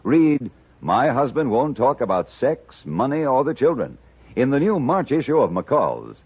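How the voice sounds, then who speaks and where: A man announcing a scripted 1950s radio advertisement with an emphatic sales delivery and a husky voice. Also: mid-range voice, sing-song, measured pace. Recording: dull old radio recording.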